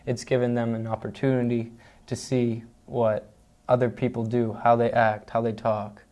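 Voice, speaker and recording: Mellow, even-toned teenage guy. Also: mid-range voice, moderate pace. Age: teens, high school student. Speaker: student interviewee. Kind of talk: casual interview answer.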